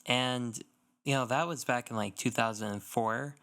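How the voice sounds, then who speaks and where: A man's voice: mid-range voice, moderate pace, pushed and bright, clear, resonant tone. A man co-hosting a casual gaming podcast, talking enthusiastically and giving emphatic opinions. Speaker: podcast co-host.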